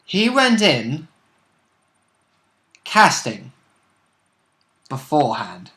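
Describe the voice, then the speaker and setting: Male co-host, bright and sharp-toned, informal commentary on tabletop gaming. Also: on the high side, huge pitch swings, extremely slow. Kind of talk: casual chat, commentary on a tabletop game.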